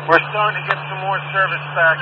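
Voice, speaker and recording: High-pitched man on noisy scanner radio. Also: steady tone, fairly quick, highs cut off. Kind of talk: police radio talk. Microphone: two-way radio.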